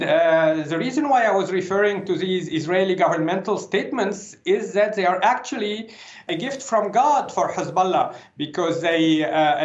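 A man giving articulate, formally worded analysis as an expert interviewee. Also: sits high. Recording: echoey, dull remote interview sound.

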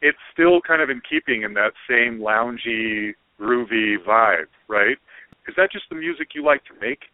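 A man's voice: lowish voice, dry recording. A man hosting a casual podcast interview, affirming his guest and asking roundabout, informal questions. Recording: narrow phone-like band, dry.

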